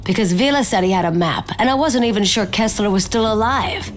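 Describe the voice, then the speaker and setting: A medium-pitched female voice, an actress's over faint noise plays an earnest researcher in a game cutscene. She explains and defends her view to fellow survivors. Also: fairly quick, lightly acted. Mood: earnest, defensive. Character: earnest woman scientist among survivors.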